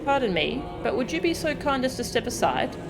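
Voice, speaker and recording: A genial male shade from a fantasy game, acted out by a streamer, wistful and quietly amused. He muses politely with a lilting delivery, while music plays clearly behind him. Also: high voice, very animated, brisk, voice pushed up, exaggerated voice. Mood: courteous.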